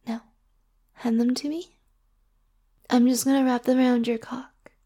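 A woman speaking in a soft, intimate whisper for an audio roleplay, slow and coaxing. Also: on the high side, steady tone.

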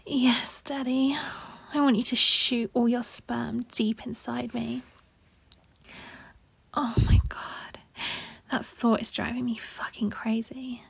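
A seductive, needy woman lover, acted by a woman in intimate adult audio roleplay. Her voice is on the high side, with a creaky edge and frequent breathy whispers. She speaks slowly and coaxingly. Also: little pitch movement, dry recording, full character voice. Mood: breathless, pleading. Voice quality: husky, breathy, often dropping into whispers. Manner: slow, sultry, coaxing.

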